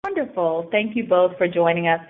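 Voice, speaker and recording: A woman's voice: lowish voice, animated, fairly quick. A woman hosting a semi-scripted webinar, introducing and questioning guests as a programme director. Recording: thin phone-like line.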